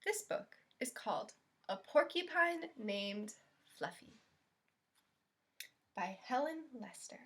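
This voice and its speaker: A woman leads a children's storytime in a lightly high voice. She reads very slowly and expressively, with questions put to the young listeners along the way. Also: lively intonation, a little hiss or hum.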